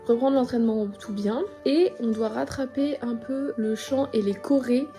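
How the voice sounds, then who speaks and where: A woman's voice: fairly high pitch, normal speed, mellow. Casual young woman vlogging over music. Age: 20s. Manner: informal, chatty. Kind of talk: casual vlog narration to viewers.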